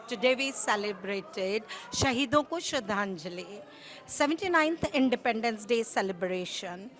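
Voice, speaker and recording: Higher-pitched woman with an Indian accent. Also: a bit bright. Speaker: senior hospitality executive. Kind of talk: formal introduction to camera.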